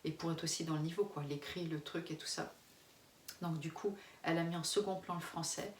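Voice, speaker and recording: A woman from France, in her forties, talks to her camera in a loose, candid way, circling back and restarting her sentences and often breaking into laughter. Her voice sits a little low. It is recorded in a reverberant room from some distance away, with a faint ambient hiss behind it. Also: fairly even intonation, a little fast. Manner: frank, rambling, many restarts, laughs often. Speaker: expat family vlogger. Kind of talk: casual face-to-camera chat.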